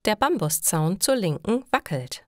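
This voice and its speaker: A woman reading scripted stories for language learners in a clear, deliberate narrating style. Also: mid-range voice, sing-song, dry recording, studio quality.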